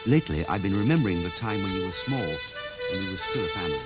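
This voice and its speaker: A sentimental middle-aged English father in a radio drama. His low voice rises and falls dramatically as he speaks in florid, wistful phrases. Music plays behind him. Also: expressive, fast. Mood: wistful, tender. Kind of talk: radio play acting, reading letters aloud.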